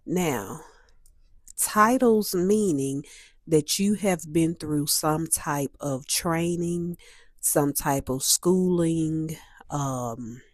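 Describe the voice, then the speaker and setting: A woman hosting a solo talk, a little stuffy and hoarse from a cold, speaking in a measured way that repeats and restates her points. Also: deep voice, no echo, studio quality. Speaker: host.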